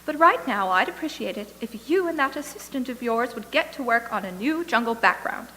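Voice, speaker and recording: A woman acts out a brisk, exasperated radio producer in an old-time radio comedy. Her voice sits high and bright and cuts sharply through the room. It is recorded in a reverberant hall with some background hiss. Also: animated. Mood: impatient, dismissive. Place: stage in a hall, live audience performance. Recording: echoey hall reverb, hissy background noise.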